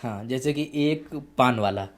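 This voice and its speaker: A man's voice: mid-range voice, measured pace. A man hosting a casual podcast, asking questions and responding with frequent short acknowledgements and laughter. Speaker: podcast host.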